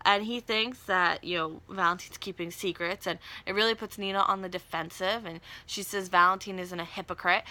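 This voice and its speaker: A woman's voice: medium pitch, bright, forceful tone. A woman hosting a casual, chatty TV soap recap, with wry asides and slangy, conversational paraphrasing. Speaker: video recap host.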